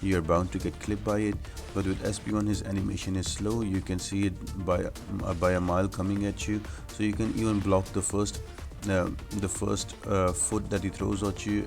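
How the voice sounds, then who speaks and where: Male gaming guide host, low pitch, little variation in tone, explanatory walkthrough commentary, faint background music. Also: flat delivery, moderate pace, vocal fry.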